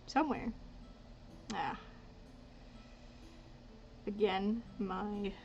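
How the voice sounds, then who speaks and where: A woman's voice: on the high side, darkish tone, slight background noise. A woman in her twenties whose first language is Dutch, chatting casually on a live stream, rambling and muttering asides as she works. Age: 20s. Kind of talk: casual live-stream chat while painting. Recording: slightly dull lo-fi stream audio with faint ambience.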